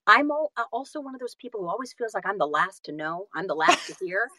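Casual podcast guest, woman, middle-aged, very animated intonation, self-effacing, repeats phrases, dry sound. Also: medium pitch, fast, no room sound. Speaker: audiobook narrator and guest.

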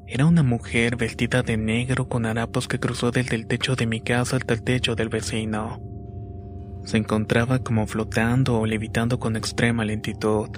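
A man narrates paranormal tales from a script in a deliberate, measured way. His mid-pitched voice stays very even, with little rise or fall. Music plays behind him. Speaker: narrator of listeners' paranormal stories. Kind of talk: scripted horror story narration. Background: background music.

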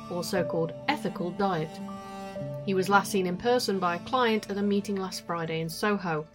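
An actress playing a serious news reporter in an audio drama, reading a scripted report in a formal, earnest way. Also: female voice, medium pitch, natural voice. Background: music.

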